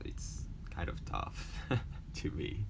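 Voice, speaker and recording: A young man whose first language is Japanese, speaking English with a mostly American accent and a slight Japanese trace, reading textbook lessons aloud with halting restarts. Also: noisy background. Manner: halting, with restarts and self-corrections. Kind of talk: casual talk, then reading school textbook passages aloud.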